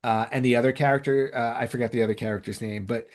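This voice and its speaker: A man's voice: mid-range voice, fairly even intonation, quick pace. Emphatic man chatting on a podcast. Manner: enthusiastic, emphatic, repeats himself for stress. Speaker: podcast co-host. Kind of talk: casual podcast discussion.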